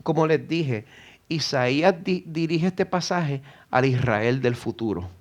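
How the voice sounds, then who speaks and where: A man's voice: medium pitch, animated, unhurried. A middle-aged man with a Puerto Rican accent preaching an earnest sermon in church, rising to emphatic exhortation. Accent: Puerto Rican Spanish. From Puerto Rico. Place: church sanctuary.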